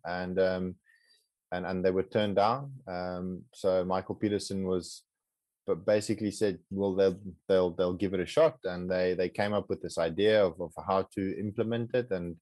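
A man's voice: deep voice, a little mellow, no room sound. A man from South Africa whose first language is Afrikaans, speaking with an Afrikaans accent as an interview guest, often repeating words and restarting sentences. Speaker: guest, project co-founder. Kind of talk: casual interview conversation.

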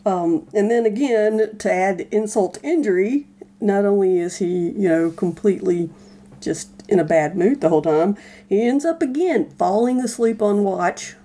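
A woman's voice: mid-range voice, animated, moderate pace, dark tone. A woman co-hosting a podcast, talking in a casual, conversational way. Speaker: podcast co-host. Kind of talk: casual chat.

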